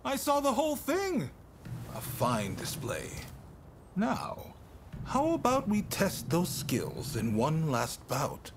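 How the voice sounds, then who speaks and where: An actor playing a genial, composed samurai with a commanding manner in a video game cutscene. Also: male voice, high voice, huge pitch swings, takes it slowly, noisy background, heavily acted. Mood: approving, challenging.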